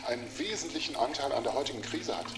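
A man's voice: medium pitch, quick pace, background noise, natural voice. A man playing a critical, probing television interviewer in a German radio drama. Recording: echoey, music and dripping water behind.

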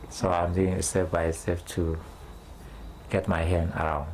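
A man, speaking in a documentary interview, halting as he searches for words in English. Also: deep voice, level tone, slight background noise. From Sudan.

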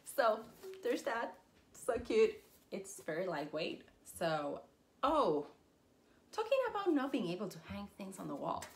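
A woman's voice: medium pitch, measured pace, slight background noise. Chatty woman raised in Puerto Rico. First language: Spanish. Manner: chatty and animated, squealing with excitement, frequent laughs. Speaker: collector vlogger. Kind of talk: casual haul video chat. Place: collectibles-filled room indoors. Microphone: camera at desk distance.

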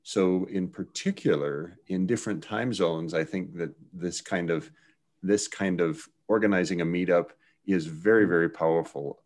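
A middle-aged man making conversational remarks on a video call in a measured, emphatic way, repeating words as he goes. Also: deep voice, a little mellow. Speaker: session participant adding comments.

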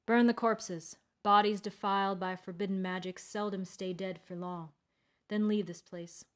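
A woman's voice: medium pitch, fairly even intonation, normal speed, lightly acted. A stern old wizard of the road, acted by a woman reading a fantasy tale. He speaks solemnly and with iron will, giving commands that expect obedience. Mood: weary, firm. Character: stern old male wandering mage, righteous, iron-willed.

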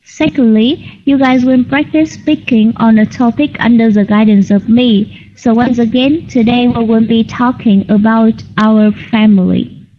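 Woman English teacher, higher voice, patient corrections, dull call audio. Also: steady tone, a little hiss or hum. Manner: patient, corrects grammar slowly and clearly. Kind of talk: online class teaching.

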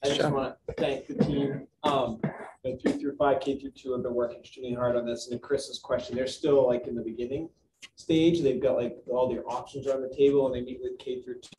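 A man's voice: mid-range voice, fairly even intonation, fairly quick, dark tone, a little hiss or hum, rough recording. A man, a school administrator, speaking plainly at a board meeting with loose, filler-heavy phrasing. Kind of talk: meeting remarks.

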